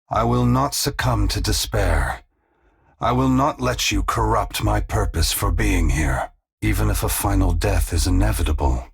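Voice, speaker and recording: An actor playing a solemn, compassionate ancient god in a video game, speaking formally and gravely in a deep, gravelly, husky, measured voice. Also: male voice, heavily acted. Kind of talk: dramatic video game dialogue.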